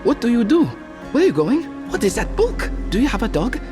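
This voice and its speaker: A woman's voice: on the high side, very animated, a little fast, music in the background. A woman voicing a chatty, inquisitive little village girl in a motion comic, eager and a bit hesitant. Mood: curious, eager. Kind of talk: character acting in a motion comic.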